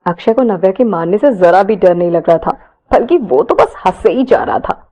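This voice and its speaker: A woman's voice: mid-range voice, animated, moderate pace, muffled recording. A woman storyteller narrating a romance story in a dramatised style.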